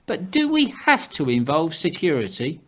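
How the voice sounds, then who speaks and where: A woman playing an exasperated middle-aged son who tries to keep calm, in amateur radio comedy. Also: sits low, moderate pace. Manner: placating, exasperated.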